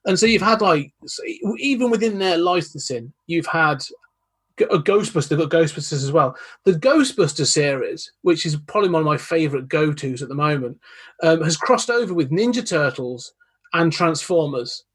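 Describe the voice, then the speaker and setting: A man's voice: on the high side, expressive, moderate pace, fairly bright tone, slightly rough. A young man, a podcast guest, talking eagerly and rambling with lots of restarts. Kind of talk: casual podcast chat.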